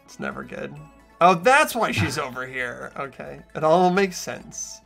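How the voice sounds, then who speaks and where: A man talks casually as he plays a game with friends. His voice is fairly high for a man and very animated, with noticeable creak. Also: measured pace, faint music behind. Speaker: game player. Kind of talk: casual chat while playing a game.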